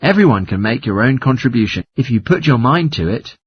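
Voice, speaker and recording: A man reading a scripted academic lecture in a formal, measured manner. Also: medium pitch, very animated, slightly rough, dry recording. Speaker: lecturer in a listening test recording.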